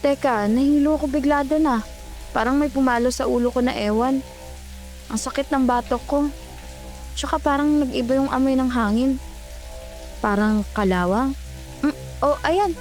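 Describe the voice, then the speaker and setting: A woman's voice: high-pitched, moderate pace, long pauses, a little mellow, soft background music, voice pushed up, close to an everyday voice. A woman with a Filipino accent playing a wary, bossy young call center agent in an audio horror drama, speaking colloquially. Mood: uneasy.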